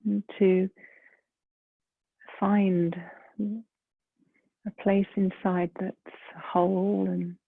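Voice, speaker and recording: Very slow, breathy woman guiding meditation. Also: on the low side, no room sound. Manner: gentle, unhurried, with long pauses between phrases. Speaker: meditation guide. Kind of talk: guided meditation. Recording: muffled phone-like lo-fi sound.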